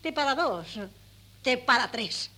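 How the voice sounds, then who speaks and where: Husky, high-voiced theatrical mother. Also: big pitch swings, unhurried, sharp tone, heavily acted. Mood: indignant, wounded. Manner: melodramatic, exclamatory. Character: theatrical middle-aged mother and wife, indignant, meddling matchmaker. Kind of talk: 1970s Spanish radio comedy acting. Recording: old cassette of 1970s radio play.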